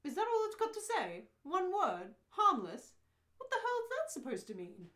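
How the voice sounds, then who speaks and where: Woman playing bewildered, animated stranded space traveler. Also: very high-pitched, moderate pace, voice pushed up, exaggerated voice. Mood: indignant. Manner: questioning, exasperated. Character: bewildered, indignant Earth human stranded in space. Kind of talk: amateur radio play reading.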